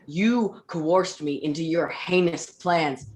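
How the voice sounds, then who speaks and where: An actor playing an anguished, guilt-ridden male scientist in a dramatic staged reading, grave and deliberate with a raspy voice. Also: medium pitch, darkish tone, natural voice. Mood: horrified, defiant.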